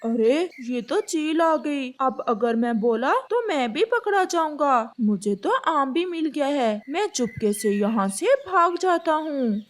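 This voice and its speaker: Woman voicing a sly, high-pitched young crow. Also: expressive, slow, few pauses. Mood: sneaky. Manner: coaxing, scheming. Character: sly, selfish young male crow, a tempting troublemaker.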